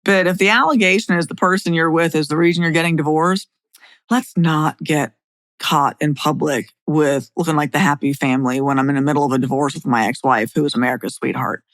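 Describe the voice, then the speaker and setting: A woman's voice: deep voice, fairly even intonation, a little fast, no echo, studio quality. A middle-aged woman speaking as a podcast host and attorney, in a blunt, plain-spoken way. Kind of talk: scripted narration and opinionated podcast talk.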